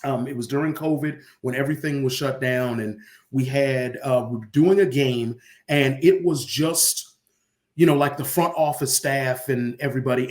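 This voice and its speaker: A man, an arena announcer, telling casual stories as an interview guest and acting out bits of dialogue. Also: medium pitch. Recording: roomy echo on the voice.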